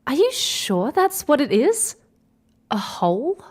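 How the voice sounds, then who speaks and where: An inquisitive female space explorer with a high, very lively voice. She takes her time over her lines in a hesitant, questioning way, and has an excitable temper suited to sci-fi audio drama. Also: slow, exaggerated voice. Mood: curious, uneasy.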